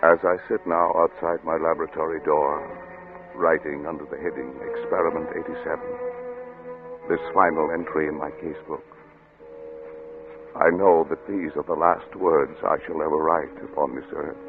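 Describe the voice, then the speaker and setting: Deep, doomed scientist, vintage radio. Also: male voice, steady tone. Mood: grave, resigned. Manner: solemn, measured, literary. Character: doomed, grave male scientist narrating his final casebook. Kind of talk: old-time radio dramatic narration. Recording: narrow vintage radio recording with music.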